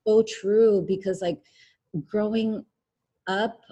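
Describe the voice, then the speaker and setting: A young woman from the United States, a guest telling her life story in a relaxed chat, with lots of "um" and "like". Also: mid-range voice, takes it slowly, breathy edge. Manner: rambling, many fillers like "um" and "like". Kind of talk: casual interview conversation.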